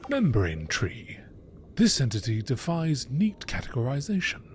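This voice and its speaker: Man narrating over clear background noise. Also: medium pitch, huge pitch swings, measured pace. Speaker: narrator reading game lore. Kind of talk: nature documentary style scripted narration. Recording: clearly noisy recording.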